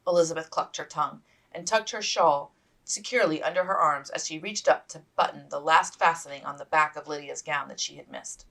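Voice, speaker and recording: A woman narrates a period novel as its author, reading from the page. Her voice is on the low side with plenty of gravelly fry. She sounds a little distant from the microphone. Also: fairly quick, a bit bright. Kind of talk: scripted audiobook narration.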